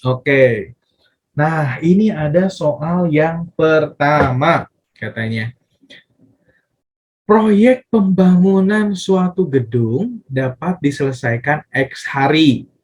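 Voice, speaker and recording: A man's voice: fairly high pitch, expressive, slow pace, a little mellow. A man explaining math step by step as a teacher, often asking questions and answering them himself and repeating phrases. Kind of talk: casual step-by-step tutorial explanation.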